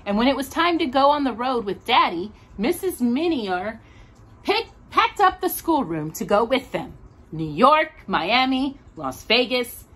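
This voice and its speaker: A woman reading a children's picture book aloud as storyteller, expressive, drawing out words for a young listener. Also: fairly high pitch, a little slow.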